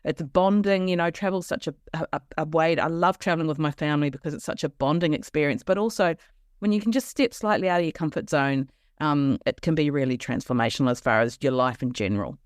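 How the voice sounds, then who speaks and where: A woman with an Australian accent hosting a travel podcast, talking with lively enthusiasm and frequent restarts. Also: low voice, fairly quick, no room sound, clean studio sound. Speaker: podcast host. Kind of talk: casual interview chat.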